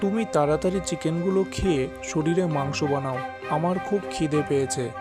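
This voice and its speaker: A man's voice: on the high side, normal speed, close to an everyday voice. A man voicing a hungry, bossy but gullible tiger in a cartoon fable, with a demanding manner. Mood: impatient, hungry. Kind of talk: cartoon fable character dialogue. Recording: music bed behind the voice.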